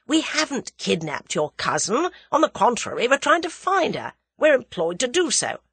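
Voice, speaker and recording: A woman voicing a cheery, matter-of-fact young English man with a jaunty, brisk manner in a storybook. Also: a little high, huge pitch swings, fairly bright tone, breathy edge, no echo, pitched above the performer's own voice, heavily acted.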